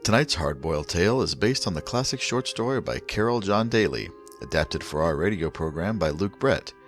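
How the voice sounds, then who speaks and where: Deep, animated old-time radio announcer man. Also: normal speed, faint music behind. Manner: showy, theatrical announcing. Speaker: radio program host and announcer.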